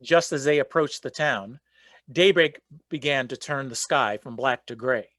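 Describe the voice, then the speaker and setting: A man's voice: medium pitch, a little slow, a bit bright, no room sound, natural voice. A man narrating a folk legend as the storyteller in a scripted radio play. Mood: storytelling.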